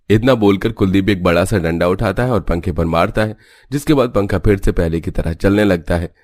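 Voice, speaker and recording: Guy narrating a cartoon story, really low voice, bit of music behind. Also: darkish tone. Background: background music.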